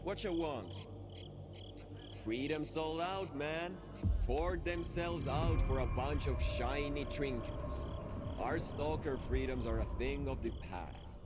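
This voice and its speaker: A man's voice: a little high, takes it slowly, loud music behind the voice. A man playing a weary, disillusioned faction fighter in a video game. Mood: bitter, resigned. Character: disillusioned, weary male freedom faction stalker.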